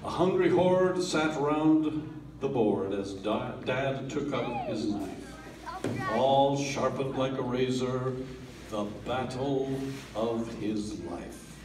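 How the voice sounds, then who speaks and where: A man giving a dramatic reading of a comic poem at a family gathering, theatrical, with swells and hushed drops. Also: medium pitch, takes it slowly, noisy background. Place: family holiday gathering in a room. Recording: roomy, distant home video.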